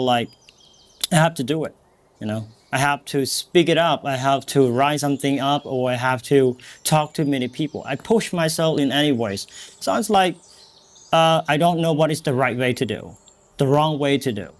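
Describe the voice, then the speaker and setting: Earnest Cambodian-accented man. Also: mid-range voice. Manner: earnest, encouraging, repeats phrases for emphasis. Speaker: English teacher and podcast host. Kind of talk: motivational talk to learners.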